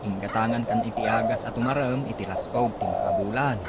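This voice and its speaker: A man's voice: fairly low pitch, a little fast, close to an everyday voice. A man playing a helpful, protective young man in an Ilocano radio comedy drama, speaking familiarly in an Ilocano accent. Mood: friendly, helpful. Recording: very noisy audio with bird sounds.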